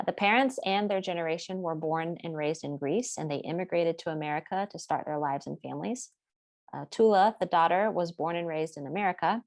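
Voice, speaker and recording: A woman presenting a class project in a steady, explanatory way, partly read from notes. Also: low-pitched, no room sound. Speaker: student presenter.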